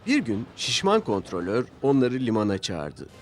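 A man's voice: medium pitch, huge pitch swings, moderate pace. A man narrating a scripted children's story as the storyteller. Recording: music bed behind narration. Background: music.

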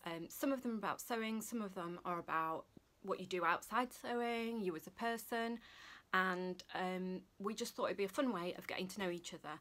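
A woman's voice: mid-range voice, a bit bright. A woman in her forties with a Yorkshire accent and a slight lisp, chatting casually to camera as a vlogger. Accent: Yorkshire English. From Sheffield, northern England. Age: 40s. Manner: chatty, informal. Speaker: sewing vlogger. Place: small furnished room indoors.

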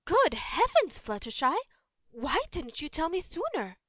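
A woman's voice: sits high, sing-song, unhurried, fairly bright tone, no echo, exaggerated voice. A woman voicing a refined, dramatic, doting female unicorn in an amateur audio drama. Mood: shocked, dramatic. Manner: genteel, dramatic.

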